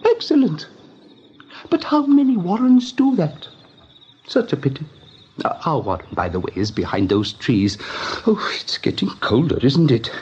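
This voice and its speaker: A man's voice: a little high, big pitch swings, moderate pace, long pauses, darkish tone, breathy edge, full character voice. A man playing an urbane, over-polite, slyly welcoming rabbit in an eighties radio drama, with languid, affected manners. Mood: affable, evasive. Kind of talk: 1980s radio drama acting. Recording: dull, faintly noisy old radio tape.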